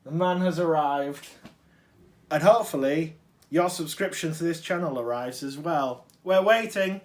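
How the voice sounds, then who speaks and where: A man's voice: a little high, unhurried. Man with a cold, chatty. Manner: chatty, enthusiastic, self-correcting asides. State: has a cold. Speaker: toy collector vlogger. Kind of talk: casual unboxing chat. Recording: roomy, distant mic.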